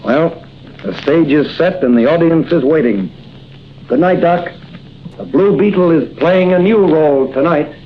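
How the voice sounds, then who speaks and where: An actor playing a confident masked crimefighter in a 1940s radio drama, on a muffled old recording with faint hiss. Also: male voice, on the high side, measured pace. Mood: assured. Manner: bold, heroic declarations.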